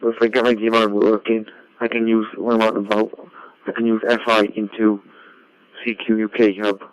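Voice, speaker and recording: A man, an amateur radio operator, chatting casually and haltingly over a radio link, often repeating his phrases. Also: flat delivery, a little hiss or hum. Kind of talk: casual ham radio chat. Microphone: radio link played through a computer. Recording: dull low-fi digital radio link.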